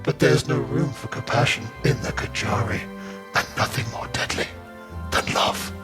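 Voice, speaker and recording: A man's voice: medium pitch, moderate pace, gravelly, music in the background. A man reading a scripted book blurb as dramatic narration.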